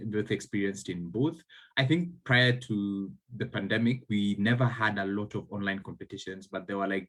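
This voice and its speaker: Deep husky man. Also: fairly bright tone, low-quality recording. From Kenya. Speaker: debate panelist. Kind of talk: casual panel discussion.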